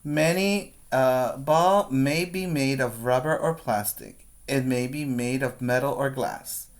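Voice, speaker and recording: A male preschool teacher reads a children's book aloud at a very slow pace. His voice sits at a medium pitch. He phrases each line deliberately, in an instructional way, as if guiding young children through the pages. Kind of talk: read-aloud for young children with explanatory asides.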